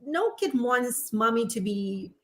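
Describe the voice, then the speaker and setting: Woman from Indonesia, forties, podcast guest; animated, laughs a lot, slightly high pitch. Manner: animated, laughs often, quick asides. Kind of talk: casual interview chat.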